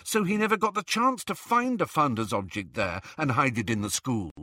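A man's voice: medium pitch, lively intonation, normal speed, bright, forceful tone, no room sound, studio quality, pitched above the performer's own voice. A man voicing a brainy, cautious teenage witch girl who speaks in a precise, reasoning manner, in an audiobook. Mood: reasoning, doubtful. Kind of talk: audiobook character dialogue.

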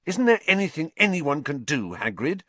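A man's voice: on the high side, sing-song, unhurried, a bit bright, no echo, pitched above the performer's own voice. A man voicing an earnest, urgent teenage schoolboy hero in a storybook reading. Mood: fierce.

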